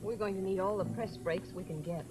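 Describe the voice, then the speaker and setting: An actress playing a wry, anxious theatre publicist in a dramatic, musing manner, in mid-century suspense style. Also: female voice, medium pitch, fairly even intonation, a little fast, natural voice, poor audio. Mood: worried, uneasy. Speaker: theatrical press agent. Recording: vintage broadcast with background music and noise.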